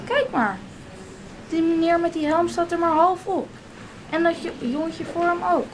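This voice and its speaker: A woman's voice: very high voice, moderate pace, background noise. A woman playing a curious ten-year-old boy in a radio drama, asking childlike questions. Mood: puzzled, stubborn.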